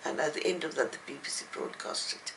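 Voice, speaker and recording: An older woman speaking as an interviewed writer, reflective and halting, with thoughtful pauses. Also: low-pitched, creaky, fairly bright tone, slight background noise. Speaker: novelist and playwright being interviewed. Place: at home, indoors.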